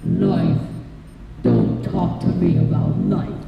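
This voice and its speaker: Casting: depressed radio-play robot, slow and bottomlessly low, deadpan, heard in a very echoey hall. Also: female voice, vocal fry, exaggerated voice. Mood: gloomy, resigned. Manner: morose, plodding, deadpan complaining. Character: gloomy, self-pitying, brainy robot. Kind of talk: live radio-play acting. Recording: dull sound in echoey hall, loud music.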